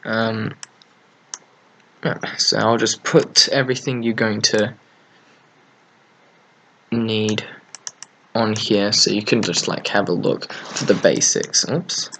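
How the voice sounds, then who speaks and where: A man casually hosting a software tutorial, thinking aloud in an offhand way as he works at his computer. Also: fairly low pitch, lively intonation, takes it slowly, creaky, pauses often, a little hiss or hum. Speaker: tutorial host. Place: at a computer desk, screen recording.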